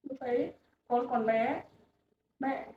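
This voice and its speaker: A woman's voice: sits high, steady tone, takes it slowly, far from the mic. A woman playing a remorseful mother. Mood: overjoyed. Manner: tender, softly pleading. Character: remorseful mother reunited with grown son. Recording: echoey, dull, from afar.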